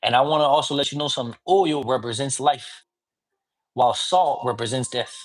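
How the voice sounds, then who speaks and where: A man's voice: mid-range voice, a little fast. Casual man explaining, checking in often. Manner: informal, often checks in with "you get me?"; mixes in Spanish words. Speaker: priest teaching divination. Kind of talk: casual teaching talk.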